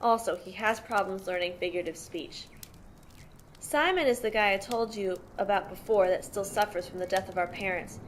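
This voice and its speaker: A woman acting as a protective big sister with a medium-pitched voice. She is tough and matter-of-fact, explaining things like an interviewee in an amateur audio play. There is some noise on the recording. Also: moderate pace, natural voice. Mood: explanatory. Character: protective, tough sister mothering five troubled orphaned brothers. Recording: noisy amateur home recording.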